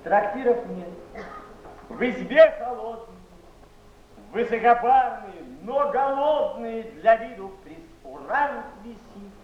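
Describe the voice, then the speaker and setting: An actor playing a disillusioned male poet, declaiming verse deliberately in a theatrical style. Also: very high voice, expressive, full character voice. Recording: echoey muffled old theatre recording, faint noise.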